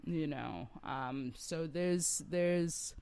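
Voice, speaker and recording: A woman rock singer speaks slowly as an interview guest. She sounds reflective and feels her way through each thought. Her voice is smooth and clean, recorded close and dry. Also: low voice, warm tone, dry recording. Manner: reflective, searching for words as she goes. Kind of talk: conversational interview.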